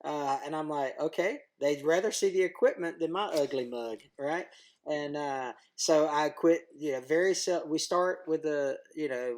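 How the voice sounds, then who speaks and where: A plain-spoken man talking casually as a podcast guest, laughing easily and joking about himself. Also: medium pitch. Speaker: guest, YouTuber. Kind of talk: casual conversation.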